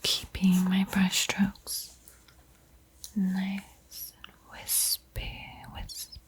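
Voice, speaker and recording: A woman playing a gentle vampire art teacher in a whispered ASMR painting roleplay, soothing and unhurried, lingering over words. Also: mid-range voice, completely flat delivery. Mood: calm, focused. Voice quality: breathy, mostly unvoiced whisper.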